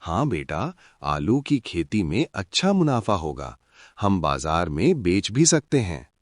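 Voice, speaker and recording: A man's voice: sits low, big pitch swings, unhurried, husky, no room sound, clean studio sound, heavily acted. A man voicing a cautious elder village farmer in a cartoon story, with a plain-spoken, doubtful manner.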